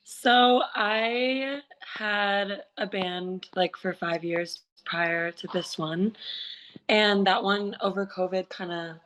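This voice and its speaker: A woman in her twenties with a low, raspy voice, chatting eagerly as a musician guest on a video call, full of likes and restarts. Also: steady tone, slow pace, fairly bright tone, poor audio. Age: 20s. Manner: enthusiastic, many likes and restarts. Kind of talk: casual interview chat.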